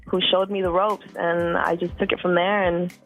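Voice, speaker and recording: A woman in her thirties, from Costa Rica, talking casually as a music guest, relaxed, with many fillers and repeated words. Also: lowish voice, normal speed, poor audio. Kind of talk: casual interview chat.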